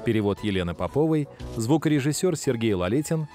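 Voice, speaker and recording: A man giving expressive, scripted educational narration for children in questions and answers. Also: mid-range voice, brisk, over music.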